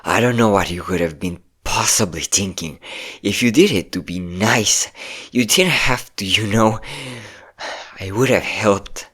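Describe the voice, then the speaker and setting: A man's voice: fairly low pitch, a little slow, fairly bright tone, slightly rough. A man playing a possessive, manipulative yandere ex-boyfriend in a scripted audio roleplay, speaking in a coaxing, menacing way. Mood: agitated, possessive. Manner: coaxing, menacing, calling listener pet names, nervous chuckles. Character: possessive, manipulative yandere ex-boyfriend hunting his hiding lover.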